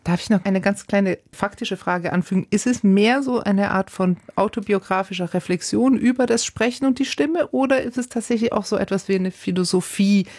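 Brief: female radio host, mid pitch, curious and reflective, dry close sound. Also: moderate pace, hardly any pauses, a little mellow, no echo. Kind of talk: radio book discussion.

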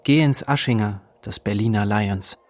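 A man's voice: lowish voice, normal speed, darkish tone, slight background noise. A man reading a literary travel diary as scripted narration, in clipped, terse phrases.

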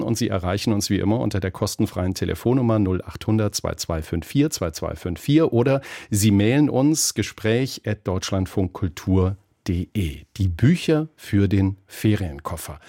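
Low, mellow male radio host. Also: no room sound, clean studio sound. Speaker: radio talk show host. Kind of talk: conversational radio presenting.

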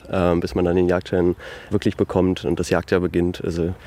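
Deep-voiced guy in his 20s, from berlin, halting short replies. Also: monotone, darkish tone, slight background noise. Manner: hesitant, brief replies.

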